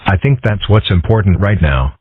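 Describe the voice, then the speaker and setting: A man voicing a calm, dry-witted ten-year-old boy in a cartoon comic dub, with a husky voice and a mild deadpan manner. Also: sits low, normal speed, no echo. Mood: mild, musing. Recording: muffled band-limited audio.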